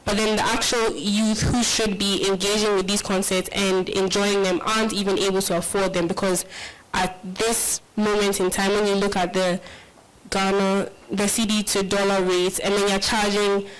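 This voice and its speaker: A woman speaking on a panel in a measured way, often hedging her points. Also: medium pitch, little pitch movement, low-quality recording. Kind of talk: panel discussion.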